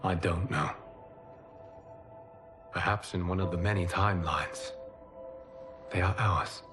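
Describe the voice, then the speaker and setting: A man playing a noble, solemn warrior protector in a video game cutscene, speaking in a measured, formal and earnest way. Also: low-pitched, over music.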